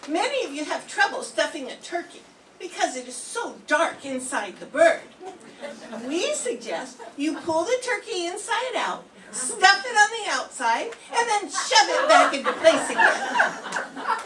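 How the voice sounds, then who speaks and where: An older woman reading the part of a naive, scatterbrained young woman in a radio sitcom, slow and literal-minded, recorded from far off in a roomy classroom. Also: extremely high pitch, sing-song, bright, forceful tone, slight background noise, heavily acted. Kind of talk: 1940s radio sitcom acting.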